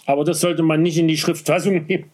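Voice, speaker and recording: A man from Saxony with a slight Saxon accent, talking in a casual conversation, halting and full of restarts and fillers. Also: fairly high pitch, little pitch movement, a little mellow. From Saxony, Vogtland. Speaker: interviewee.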